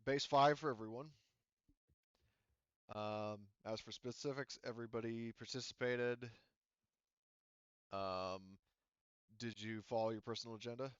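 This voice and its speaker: Smooth-voiced man running a tabletop game. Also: medium pitch, steady tone, a little slow, pauses often, a bit bright, dry recording, dull audio. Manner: teasing, sweary. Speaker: game master. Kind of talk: casual tabletop game chat.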